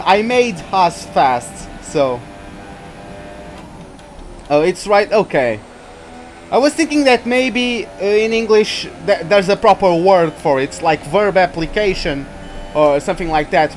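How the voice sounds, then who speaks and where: A man's voice: on the high side, a little hiss or hum. A young man with a Portuguese accent, English not his first language, rambling through live racing-game commentary and greeting viewers. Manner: rambling, hesitant, frequent restarts and fillers. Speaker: gaming streamer.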